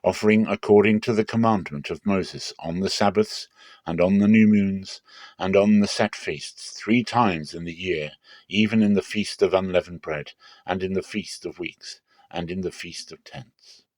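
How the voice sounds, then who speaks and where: A man narrating a dramatic Bible reading in a measured, solemn, clearly phrased manner. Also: deep voice, a little mellow, no echo.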